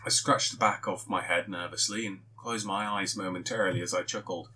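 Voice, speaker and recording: A man reading a first-person fan fiction story aloud, with expressive storytelling. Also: sits low, fairly quick, slight background noise.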